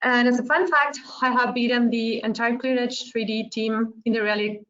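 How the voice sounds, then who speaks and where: A woman's voice: fairly high pitch, fairly even intonation, moderate pace, fairly bright tone. A woman from Argentina presenting a webinar, friendly and hesitant, with many fillers. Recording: muffled lo-fi online call audio.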